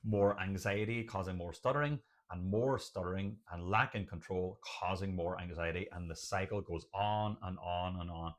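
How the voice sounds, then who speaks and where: A man's voice: low-pitched, normal speed, few pauses. An Irish man presenting motivational advice to camera, speaking emphatically and building points through repeated lists. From Ireland. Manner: emphatic, repetitive listing. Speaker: video host, doctor and stuttering advocate.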